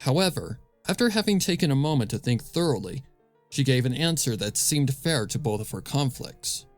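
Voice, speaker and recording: A man's voice: medium pitch, expressive, normal speed, soft background music. A man narrating scripted fan fiction as a storyteller, close on the microphone.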